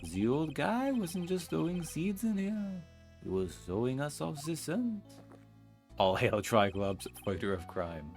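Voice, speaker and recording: A man's voice: a little high, sing-song, a little slow, darkish tone, soft background music, voice pushed up. A man voicing a cocky, coffee-loving male defense lawyer who grandstands in smug aphorisms, for a fan-voiced game.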